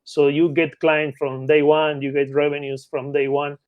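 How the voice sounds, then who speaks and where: Male interview guest, Argentine Spanish accent, slightly higher-pitched, close dry sound, conversational expert explaining with frequent check-in tags. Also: flat delivery, moderate pace, clean tone, no room sound, phone-quality sound. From Patagonia, Argentina. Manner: repeatedly checks in with short tag questions. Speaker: interview guest, mergers and acquisitions consultant.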